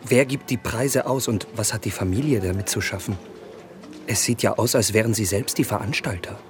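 Man acting a young male traveller, lowish voice, naive, puzzled, questioning, radio play. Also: moderate pace, close to an everyday voice. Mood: puzzled, curious.

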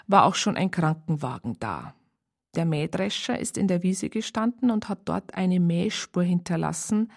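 An actress narrating a radio crime story as a folksy storyteller, husky, chatty and matter-of-fact. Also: female voice, on the low side, darkish tone. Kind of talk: colloquial scripted narration.

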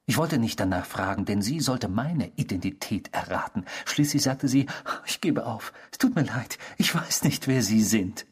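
Husky, eerie obsessive storyteller. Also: male voice, on the low side, expressive, moderate pace, hardly any pauses. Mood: wistful, savouring. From the Netherlands (character). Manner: theatrical, savouring, unrepentant, mimicking the people quoted. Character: eerie, obsessive male stranger confessing a past crime. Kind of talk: radio drama dialogue, first-person storytelling.

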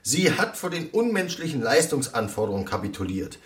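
Ein Schauspieler spielt im Hörspiel einen ernsten, pathetischen linken Gewerkschaftstyp, der etwas entfernt vom Mikrofon spricht. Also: male voice, mid-range voice, sing-song, a little fast, distant mic, close to an everyday voice. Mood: solemn, declamatory. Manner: declamatory, pompous. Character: pompous socialist colleague, earnest union-style orator. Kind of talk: radio drama, reading out a press statement.

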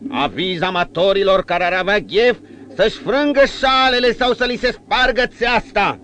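A man voicing a burly, hairy, boastful knife-fighter in a rowdy tavern, loud and bellowing. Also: high voice, pitched above the performer's own voice. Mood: boasting. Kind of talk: audiobook character voice.

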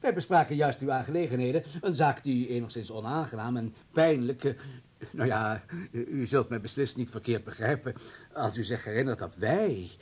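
A man acting as a menacing political official in a radio drama. His husky, mid-pitched voice is polite on the surface, halting and full of veiled threats. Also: a little mellow, lightly acted. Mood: falsely conciliatory. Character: insinuating male political official, smooth-mannered and menacing.

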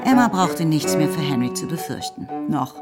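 A woman narrating a radio drama in a measured, literary storytelling manner. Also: low voice, big pitch swings. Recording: studio voice over loud music.

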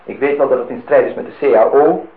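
An actor playing a polite, lonely host in a radio play, formal and slightly insistent. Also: male voice, medium pitch, fast talker, breathy edge, close to an everyday voice. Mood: polite, probing. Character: polite, lonely man hosting a young woman at his lakeside house. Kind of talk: Dutch radio play acting. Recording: noisy, tinny old radio recording.

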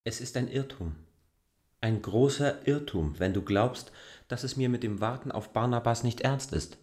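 An actor playing an earnest, brooding stranger in a radio play, speaking in a deliberate, formal, argumentative way. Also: male voice, medium pitch, a little mellow, close to an everyday voice. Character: earnest adult male stranger, insistent and brooding. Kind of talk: literary radio play dialogue.

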